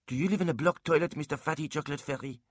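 A man's voice: on the high side, very fast, no echo, clean studio sound, pitched above the performer's own voice, close to an everyday voice. A man voicing a hyperactive little boy in an audiobook, blunt, bossy and demanding. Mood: rude, blunt. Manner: bratty, bossy.

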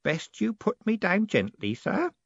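A man's voice: sits high, wildly swooping pitch, moderate pace, vocal fry, breathy edge, no echo, muffled recording, pitched above the performer's own voice, heavily acted. A man voicing a little old postman in an audiobook, anxious, muttering, hesitant and fussily deferential. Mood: nervous.

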